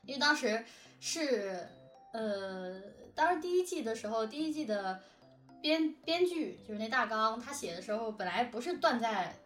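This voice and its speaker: A woman's voice: fairly high pitch, lively intonation, normal speed, fairly bright tone, echoey room, distant mic, faint music behind. A talkative woman chatting casually about production work, explaining things at length and correcting herself as she goes. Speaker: audio drama production team member and lyricist. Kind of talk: casual behind-the-scenes chat.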